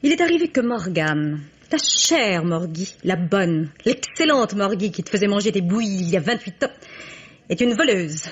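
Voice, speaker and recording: An actress playing a bitter, defiant young wife with pointed sarcasm, in an old-style French radio drama. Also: female voice, medium pitch, very animated, normal speed, breathy edge, dull audio. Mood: bitter, mocking.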